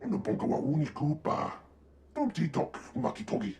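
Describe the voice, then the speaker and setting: An actor playing an excitable, gravelly male alien creature babbling in an invented tongue for a video game. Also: a little high, brisk. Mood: agitated.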